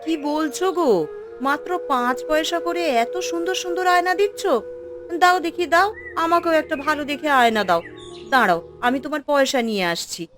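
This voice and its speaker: A woman playing a trusting village housewife and mother in a fairy-tale cartoon, expressive and full of exclamations. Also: high voice, moderate pace, music in the background. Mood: curious, delighted.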